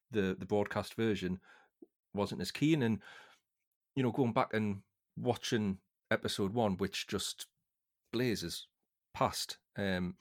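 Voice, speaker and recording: A man hosting a casual book discussion, speaking in a thoughtful, hesitant way with halting phrases. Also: on the low side.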